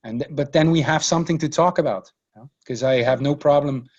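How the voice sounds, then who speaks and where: A man whose first language is Dutch, speaking English as a podcast guest in a relaxed, searching way, often restarting his phrases. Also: on the high side, fast, lo-fi sound. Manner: hesitant, many restarts and repeated words. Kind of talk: casual conversational interview.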